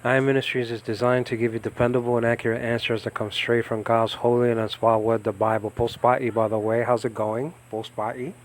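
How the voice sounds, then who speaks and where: Rambling man teaching scripture. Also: level tone. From United States. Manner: rambling, halting, lifts pitch and volume when reading scripture. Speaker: Bible teacher and ministry host. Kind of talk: informal Bible study talk. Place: home in the Philippines.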